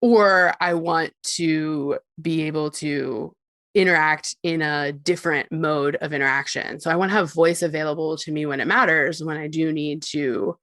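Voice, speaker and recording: Even-pitched woman explaining thoughtfully. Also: moderate pace, dry recording, clean studio sound. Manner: thoughtful, articulate, peppered with "like" and small chuckles. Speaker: interview guest, tech company CEO and co-founder. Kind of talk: conversational expert explanation in a podcast interview.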